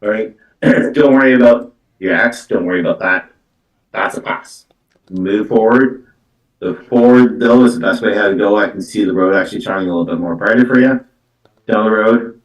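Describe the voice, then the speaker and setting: A man giving a live psychic card reading, with halting, repetitive phrasing full of verbal tics. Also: lowish voice, muffled recording. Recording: echoey room, distant from microphone.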